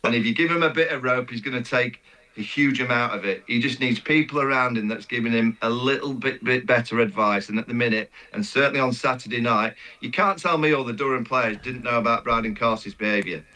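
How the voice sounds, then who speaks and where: A man discussing sport conversationally as a pundit, repeating words for emphasis in a halting way. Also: medium pitch, sharp tone. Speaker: cricket pundit.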